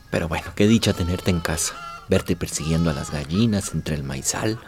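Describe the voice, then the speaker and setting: An actor playing a gentle, affectionate older country father with a Central American accent in a radio drama. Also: low voice, animated, measured pace. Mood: tender, relieved. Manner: tender, affectionate, rustic.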